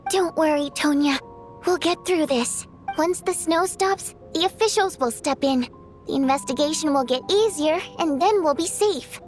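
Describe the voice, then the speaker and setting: A woman voicing a playful female water imp stage performer in a game, lively and teasing. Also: high voice, soft background music, close to an everyday voice. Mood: reassuring. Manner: lively, teasing, quick to protest. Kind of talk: video game voice acting.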